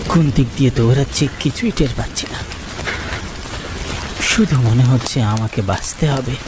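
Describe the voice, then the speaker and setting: A man's voice: medium pitch, moderate pace. A man narrating a first-person horror story for a cartoon in a dramatic style. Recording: rain and thunder noise.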